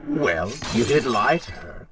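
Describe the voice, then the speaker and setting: A man playing a sardonic dark alter ego spirit in a video game, mocking and theatrical as he goads a warrior. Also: on the low side, lively intonation, unhurried, background noise. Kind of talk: dramatic video game voice acting.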